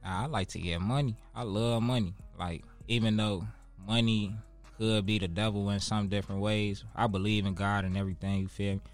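Young chicago guy w a lowish voice, interview guest talkin street slang, cusses, repeats stuff for emphasis, music playin behind. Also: steady tone, moderate pace. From Chicago, United States. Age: 20s. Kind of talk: casual interview talk.

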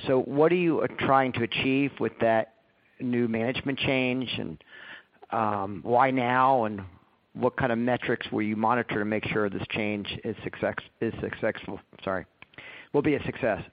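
A male analyst puts questions to company management. He speaks haltingly and corrects his own wording. The conference call audio is somewhat dull. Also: medium pitch, steady tone. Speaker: financial analyst asking questions. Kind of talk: hesitant question on an earnings call.

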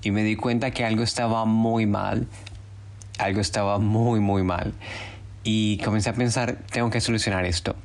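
A man's voice: fairly low pitch, fairly even intonation, moderate pace, creaky. A man hosting a podcast, speaking Spanish as his native language, talking to listeners in an earnest, encouraging and personal way. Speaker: podcast host. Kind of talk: casual podcast monologue.

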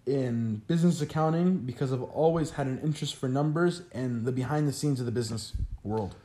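A man's voice: mid-range voice, brisk, mellow. A young man, a college freshman whose first language is Arabic, answering interview questions in a chatty way with lots of "like" fillers. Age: young adult.

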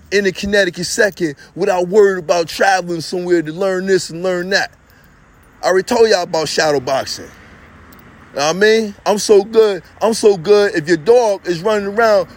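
High-voiced guy rambling streetwise and boastful, talking into his phone out in the yard. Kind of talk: rambling monologue to camera.

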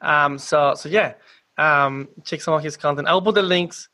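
Effusive host with a South American Spanish accent. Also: male voice, on the high side, normal speed, sharp tone. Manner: effusive, friendly, loose wording. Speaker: podcast host. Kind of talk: casual podcast hosting.

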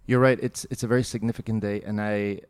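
A man's voice: lowish voice, little pitch movement, fast talker, a little mellow, smooth, no echo. Reflective male podcast host, dry sound. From Hong Kong. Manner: thoughtful, reflective, some restarts. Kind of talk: podcast interview. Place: studio in São Paulo.

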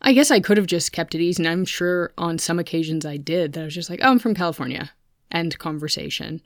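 A woman's voice: on the low side, fast, no echo, studio quality. A woman in her thirties with an American accent, telling personal stories in a candid, introspective, conversational way. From United States. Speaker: podcast host. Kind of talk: reflective personal storytelling.

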